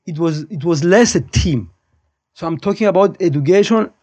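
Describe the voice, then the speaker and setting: A man in his fifties with a Cypriot accent speaks in a fairly high register. He talks casually as a podcast guest, giving firm opinions, wandering off and restating his points. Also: normal speed, mellow, muffled recording. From Cyprus. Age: 50s. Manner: opinionated, digressive, repeats and rephrases points. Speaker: podcast guest, high school physics teacher. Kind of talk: casual conversational interview.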